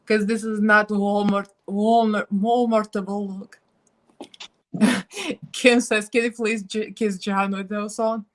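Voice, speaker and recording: A woman's voice: mid-range voice, steady tone, takes it slowly, pauses often. A woman with a Russian accent chatting casually and giggling as she does her makeup live for viewers.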